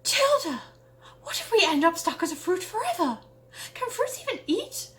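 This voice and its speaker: A woman's voice: very high-pitched, very animated, normal speed, fairly bright tone, voice pushed up, heavily acted, rough recording. A woman voicing a gullible, panicky young girl in a story, wailing and overdramatic. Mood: panicked wailing.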